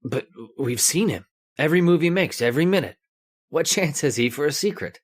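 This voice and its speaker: An actor playing a desperate, anxious castaway in an old-time radio horror drama, excitable and pleading. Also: male voice, fairly high pitch, fairly quick.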